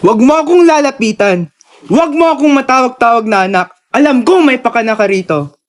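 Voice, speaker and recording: A man's voice: very high-pitched, expressive, fairly quick. A man playing an earnest, protective and defiant young man in a radio drama. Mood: bitter defiance.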